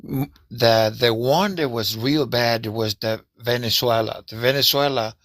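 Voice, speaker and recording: Panelist: man, sharp forward tone, unhurried explainer. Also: medium pitch, no echo. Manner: halting, earnest, explains with examples. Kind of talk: casual panel discussion.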